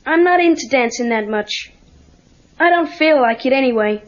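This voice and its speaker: A woman's voice: high-pitched, lively intonation, moderate pace. A woman playing a guarded, earnest teenage boy with an American accent and casual delivery in an audio story. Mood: glum. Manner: casual, defensive. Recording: dull cassette tape with music.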